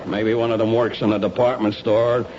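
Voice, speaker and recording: Actor as a wry police lieutenant, noisy radio. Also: male voice, fairly low pitch, flat delivery, normal speed, close to an everyday voice. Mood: weary, wry. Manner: wry, colloquial, matter-of-fact. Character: skeptical, world-weary male police lieutenant. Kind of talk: 1970s radio drama acting. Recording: dull, noisy old radio recording.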